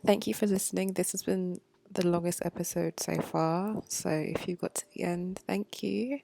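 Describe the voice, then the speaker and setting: A woman's voice: fairly low pitch, fairly even intonation, moderate pace, creaky, mellow, a little hiss or hum. A young woman hosting a podcast, giving a friendly, offhand solo sign-off to listeners. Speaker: podcast host.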